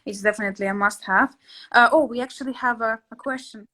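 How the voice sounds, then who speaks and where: A woman's voice: a little high, quick pace, bright, forceful tone. A young woman from Latvia whose first language is Russian, chatting casually as host with many fillers and restarts. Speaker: channel host, interior sketch artist. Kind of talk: casual conversation.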